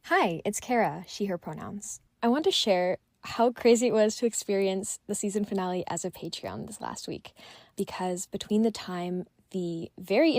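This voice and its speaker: A woman leaves a listener's voice message, giving personal fan commentary. Her recording is dry and close, with a middling pitch for a woman. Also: darkish tone, dry recording.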